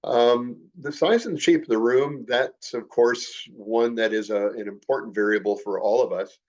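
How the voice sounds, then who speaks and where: A man's voice: medium pitch, a little mellow, muffled recording. An older man with a head cold, sounding a little nasal, giving a slideshow talk on model railroading with many uh and um fillers. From Canada. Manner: measured, frequent uh and um fillers. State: congested from a cold, slightly nasal. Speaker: model railroad clinic presenter.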